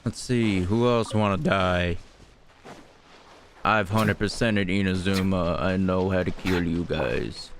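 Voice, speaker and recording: A man's voice: sits low, takes it slowly, slight background noise. A man giving casual gameplay commentary, blunt and sweary, often talking straight at the game. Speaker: gaming video creator.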